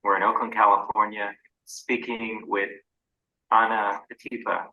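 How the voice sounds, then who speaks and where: A man's voice: lowish voice, level tone, unhurried, lo-fi sound. A man conducting an archival oral history interview, asking plain, businesslike questions. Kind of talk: formal interview opening.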